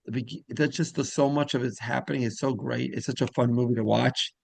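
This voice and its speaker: A man's voice: medium pitch, fairly even intonation, fairly quick, vocal fry, no room sound. A man hosting a casual interview in a rambling, repetitive way, often trailing off mid-thought. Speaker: interviewer and show host.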